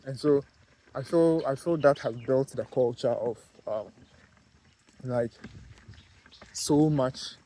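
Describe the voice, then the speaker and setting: Halting Ghanaian man talking casually. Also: medium pitch, mellow, rough recording. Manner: halting, many fillers and restarts. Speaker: vlogger, car detailer. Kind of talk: casual talk to camera.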